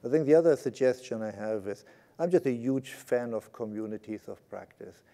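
A man's voice: medium pitch, lively intonation, moderate pace, gravelly, mellow. A man in his sixties speaking on a conference panel through a lapel mic, conversational and often ending his points with "right?". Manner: conversational, gestures a lot, tags points with "right?". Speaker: panelist, IT executive. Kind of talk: panel discussion. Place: conference stage.

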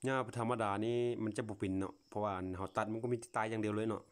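Casting: male Lao vlogger, low-pitched voice, folksy and full of wonder, off-the-cuff outdoor phone commentary. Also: fairly even intonation, rapid-fire. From Laos. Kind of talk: casual unscripted commentary to viewers while filming. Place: outdoors, at a grassy patch.

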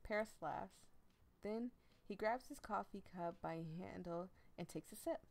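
A woman's voice: mid-range voice, a little slow, gravelly, a little mellow. A woman hosting a gaming video, reading a visual novel aloud with affectionate, gushing commentary.

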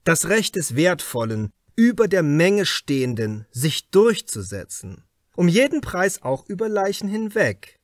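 Guy doing a shrewd pushy detective for an audiobook, formal and emphatic, voice up high, dry sound. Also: very animated, a little slow, no room sound. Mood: probing, insistent. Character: shrewd, insistent male detective confronting a suspect. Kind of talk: audiobook character dialogue.

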